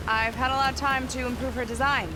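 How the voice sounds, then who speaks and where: An actress voicing a confident young woman boat captain who talks in a calm, assured way and takes charge. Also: high voice, quick pace, background noise, close to an everyday voice. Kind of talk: video game cutscene acting. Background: game score music.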